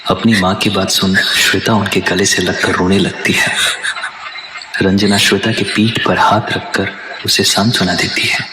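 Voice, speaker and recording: A man's voice: low-pitched, flat delivery, unhurried, noisy background. A man narrating a scripted dramatic story. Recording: echoing voice over loud music.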